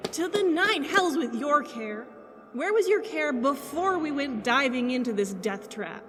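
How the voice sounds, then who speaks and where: A woman's voice: sits high, animated, a little slow, a bit bright. A woman playing a bitter, exhausted, foul-mouthed young female spellcaster in a fantasy audio drama, emotional and scornful. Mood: defiant. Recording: long cavernous reverb.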